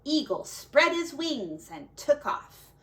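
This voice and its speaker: A woman reads a children's folk tale aloud with a fairly high voice. Her delivery is unhurried and dramatic, with big swings in pitch. She sounds like a teacher reading to young listeners. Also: sing-song, slight background noise. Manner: dramatic, deliberate, with quiet asides to young listeners.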